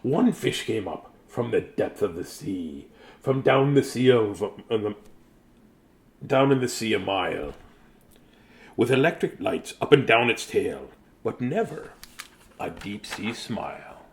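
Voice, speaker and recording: A man's voice: fairly low pitch, sing-song, a little slow. A middle-aged man reads a children's bedtime story in a silly, sing-song way, breaking off for jokey asides. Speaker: storyteller and host. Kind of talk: playful bedtime story reading with joking asides.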